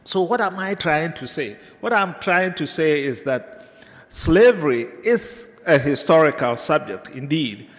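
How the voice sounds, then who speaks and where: A man from Ghana with a Ghanaian accent, giving an unscripted academic lecture to teachers, hesitant with many filler pauses and digressions. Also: on the high side, expressive. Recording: reverberant lecture hall.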